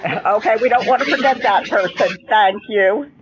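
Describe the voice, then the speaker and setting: A woman hosting and moderating an educational program, speaking in a measured, organized way to groups she introduces. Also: medium pitch, a crowd in the background, poor audio. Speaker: host and moderator. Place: school room, live videoconference.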